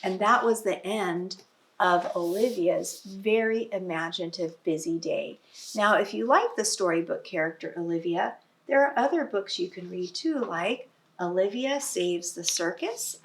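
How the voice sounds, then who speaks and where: A woman's voice: on the low side, measured pace. A woman reading a picture book aloud to young children, teacher-style, with explanatory asides and prompting questions. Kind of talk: expressive storybook read-aloud with asides to children.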